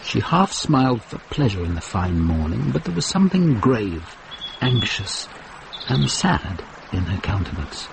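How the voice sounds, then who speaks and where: Grave poetic narrator guy, slow n gravelly, medieval radio play, noisy sound. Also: lowish voice, very animated, full character voice. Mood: lyrical, wistful. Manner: poetic, deliberate. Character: grave, lyrical male storyteller of a medieval mystery. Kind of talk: scripted dramatic narration. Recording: noisy vintage radio drama recording.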